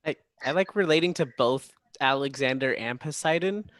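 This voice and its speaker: A man with a mid-pitched voice talks informally as a cast member. His voice is recorded very dry, with no room sound. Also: moderate pace, a bit bright, dry recording. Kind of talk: casual cast Q&A chat.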